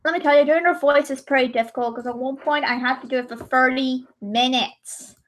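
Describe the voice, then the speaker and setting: High-voiced young woman rambling on stream. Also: fairly even intonation, brisk, slight background noise. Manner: rambling, self-correcting, chatty. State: voice gone high and strained. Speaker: livestreamer. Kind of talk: casual chat while drawing.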